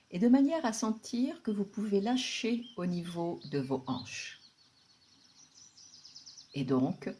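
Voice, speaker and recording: Slow, low-voiced woman guiding relaxation. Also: a little hiss or hum. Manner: calm, soothing, unhurried instruction with long pauses. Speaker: yoga nidra guide. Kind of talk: guided relaxation meditation.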